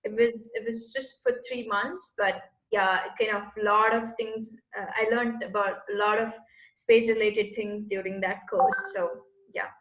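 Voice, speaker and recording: A woman's voice: medium pitch, steady tone, a little slow, a bit bright. A woman, earnestly explaining her path as an interview guest and searching for words as she goes. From Bangalore, India. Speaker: guest, space entrepreneur. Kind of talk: casual interview answers. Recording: echoey room.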